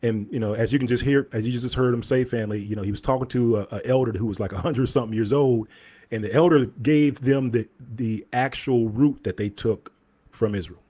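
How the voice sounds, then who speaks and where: A man's voice: mid-range voice, brisk, warm tone. A man hosting a channel, talking casually over video clips and addressing his viewers as family. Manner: loose, informal, addresses viewers as fam. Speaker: commentary host.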